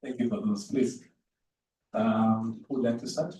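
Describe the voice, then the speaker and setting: A man's voice: medium pitch, steady tone, unhurried, mellow. A man informally moderating a question session and handing the floor to others. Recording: echoey room, distant mic, faint noise.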